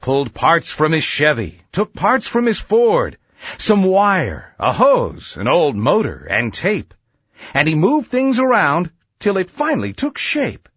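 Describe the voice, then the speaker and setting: A man's voice: fairly high pitch, big pitch swings, measured pace, a bit bright, husky, no echo, studio quality. A man narrating a rhyming children's story in a theatrical, sing-song verse delivery.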